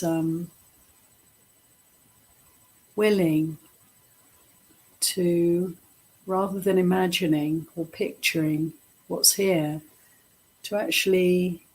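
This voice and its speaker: A woman leading a guided meditation as a teacher, speaking gently in short, unhurried phrases with pauses between them. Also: on the low side, husky, poor audio. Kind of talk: guided meditation, softly instructive.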